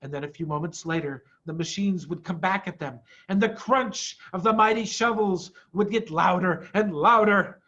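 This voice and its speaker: A man's voice: high voice, moderate pace, hardly any pauses, fairly bright tone, highs cut off. A man reading a children's story aloud in a theatrical, emphatic manner. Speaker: storybook reader, school head.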